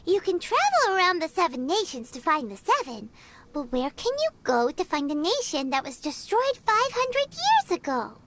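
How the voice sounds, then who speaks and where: A woman's voice: very high voice, wildly swooping pitch, normal speed, fairly bright tone, full character voice. A woman voicing a tiny, chatty, curious fairy companion in a video game, who talks about herself in the third person.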